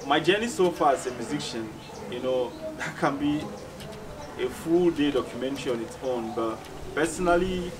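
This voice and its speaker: A man with a Cameroonian accent giving reflective, measured interview answers as a musician, often repeating phrases for emphasis. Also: medium pitch, animated. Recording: distant mic, clearly noisy background ambience.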